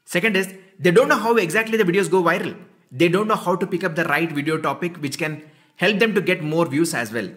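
A man's voice: sits high, fairly quick, a bit bright. A man in his thirties with an Indian accent, giving a scripted promotional talk as a coach. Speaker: YouTube coach. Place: small indoor room.

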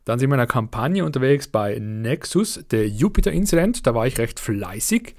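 A man's voice: medium pitch, animated, a little fast. A man, slightly under the weather, giving his viewers a casual monthly update on his gaming videos, chatty with fillers and an uneven pace. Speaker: let's play channel host.

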